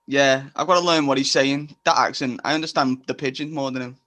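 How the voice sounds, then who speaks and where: A grumbling, absent-minded bloke, acted by a man. He has a medium-pitched voice and delivers everything deadpan. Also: a little fast, soft background music, lightly acted. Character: grumbling, muddled male studio worker Albert.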